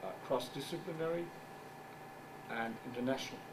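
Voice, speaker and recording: A middle-aged man whose first language is German gives a conference talk as a journal editor. He speaks English haltingly, searches for words, and makes wry asides. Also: medium pitch. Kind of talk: conference presentation. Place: conference room. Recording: distant mic with background noise.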